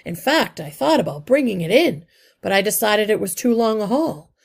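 A woman's voice: mid-range voice, very animated, moderate pace, creaky, voice pushed up. A woman voicing a stocky, practical male rat engineer in a storybook, matter-of-fact and confident.

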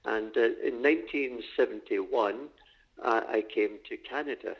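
A man's voice: medium pitch, a little slow, husky, dull audio. An older man from Scotland with a Glasgow accent, a deacon telling his story as a podcast guest. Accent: Scottish, Glasgow. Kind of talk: podcast interview.

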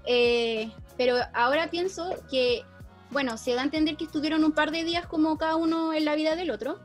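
A woman with a Chilean accent in a casual discussion, explaining things in an animated, slangy, informal way. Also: high voice, fairly quick, soft background music. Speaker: teacher.

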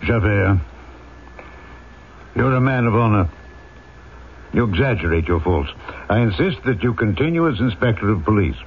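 An actor playing a dignified, guarded French mayor with a hidden past in a radio drama, speaking with dramatic control. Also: male voice, lowish voice, expressive, moderate pace, pauses often, slight background noise. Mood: reassuring authority. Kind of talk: old-time radio drama acting. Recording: tinny, narrow vintage radio recording.

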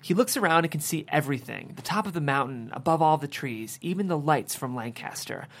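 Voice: mid-range voice, lively intonation, brisk. A narrator reading the stage directions of a screenplay at a table read.

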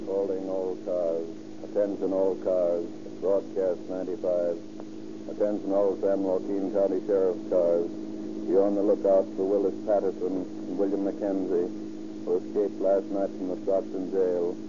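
An actor playing a flat, official police radio dispatcher with clipped, formal delivery in a 1930s radio bulletin. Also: male voice, sits low, unhurried. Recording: very noisy, dull 1930s radio recording.

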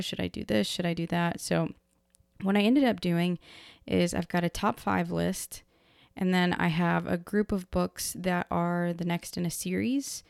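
A woman chats her way through a book podcast, rambling and full of fillers. Her voice is hoarse, low and gravelly, yet smooth underneath, and it sits dry and close to the mic. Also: a little mellow, dry recording. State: hoarse from illness. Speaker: podcast host. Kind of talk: casual book chat.

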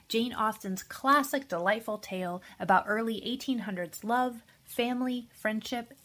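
A woman playing an exasperated, no-nonsense co-host in scripted comic radio banter, with a dry, brisk delivery. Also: medium pitch, a bit bright. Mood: presenting. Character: exasperated, no-nonsense female co-host keeping order.

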